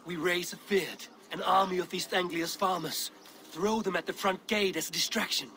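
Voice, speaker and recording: An actor playing a resolute Viking warrior leader in a video game cutscene, speaking firmly and plainly. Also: male voice, sits high, fairly even intonation, normal speed, pushed and bright. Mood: resolute, planning. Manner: firm, decisive, plain-spoken.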